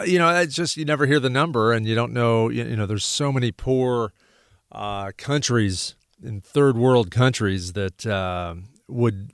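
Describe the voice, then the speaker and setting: A man's voice: mid-range voice, dry recording. Stuffed-up guy hosting a radio talk show, rambly, lots of uhs, dry studio sound. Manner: rambling, many fillers and restarts. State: stuffy nose.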